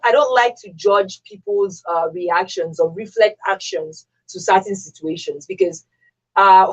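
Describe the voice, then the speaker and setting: A woman's voice: medium pitch, slightly rough, dull audio. A woman with a Nigerian accent speaking as a radio panelist, emphatic and conversational, repeating herself and posing rhetorical questions. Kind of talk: opinionated conversational discussion.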